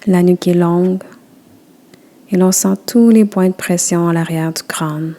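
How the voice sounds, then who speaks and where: Slow, mellow-voiced woman guiding relaxation. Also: lowish voice, fairly even intonation. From Quebec. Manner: slow, soft, soothing instructions. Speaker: yoga nidra guide. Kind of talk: guided relaxation.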